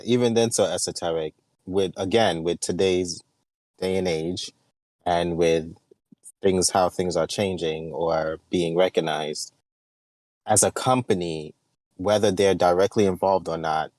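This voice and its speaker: Deep-voiced man on a podcast panel. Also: fairly even intonation, measured pace, darkish tone, dry recording. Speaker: podcast co-host. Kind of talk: casual panel discussion.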